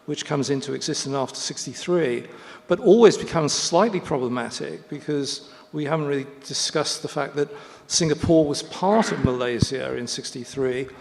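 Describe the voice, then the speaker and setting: A man moderating a panel discussion, thinking aloud in a halting way with many restarts. He is recorded in a lecture hall. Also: on the high side, creaky, a little hiss or hum. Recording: reverberant hall.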